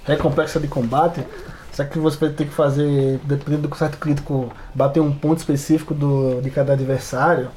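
A Brazilian man in relaxed podcast conversation, informal and jokey. His voice is a little high but soft-edged, with some ambient noise behind it. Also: fairly quick. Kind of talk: casual podcast chat.

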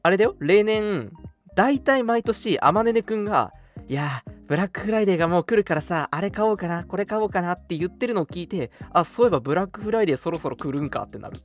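A man's voice: high voice, expressive, fast talker, faint music behind. A young man hosting a radio show, chatting casually. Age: young adult. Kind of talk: casual chat.

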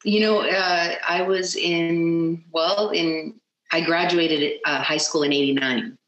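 A woman's voice: lowish voice, fairly even intonation, slow, fairly bright tone, dull audio. A middle-aged woman with a Canadian accent, chatting casually as a guest and often thinking aloud hesitantly. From Edmonton, Canada. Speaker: interview guest, sports artist. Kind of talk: casual chat. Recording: roomy, echoey sound.

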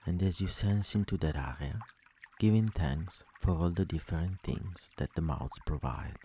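A man leading a guided sleep meditation, speaking slowly and soothingly with long pauses between phrases. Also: low-pitched, dark tone, slight background noise.

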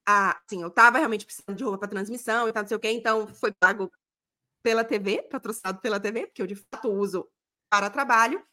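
A woman in her thirties with a Brazilian accent, telling stories in a chatty, animated way as a podcast co-host. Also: mid-range voice, fast talker. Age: 30s. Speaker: podcast co-host and football broadcast journalist. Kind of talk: casual podcast chat.

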